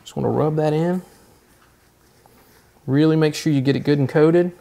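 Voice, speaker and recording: A man in his forties hosting a home cooking show, explaining each step in a relaxed, easygoing way from his kitchen. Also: fairly high pitch, normal speed, gravelly, a little hiss or hum. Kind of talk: casual cooking demonstration.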